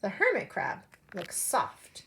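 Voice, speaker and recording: A woman preschool teacher telling a story to young children in an expressive way, with simple phrasing and direct questions. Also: medium pitch, takes it slowly, gravelly, heavy background noise.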